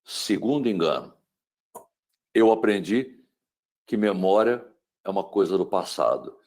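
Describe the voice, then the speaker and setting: A middle-aged man from Brazil giving a webinar lecture on mental wellbeing, dramatic, swinging between loud emphasis and hushed tones and asking the listener rhetorical questions. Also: on the low side, animated, measured pace.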